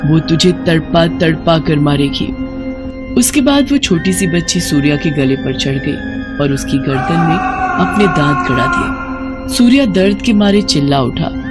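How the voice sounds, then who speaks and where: A woman's voice: lowish voice, big pitch swings, normal speed, loud music behind the voice. A woman reading a scripted horror story as a dramatic narrator.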